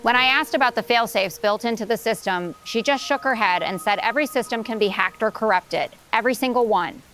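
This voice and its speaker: A woman playing a serious television field reporter in an audio drama, speaking in a measured, formal news delivery. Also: on the high side, a bit bright.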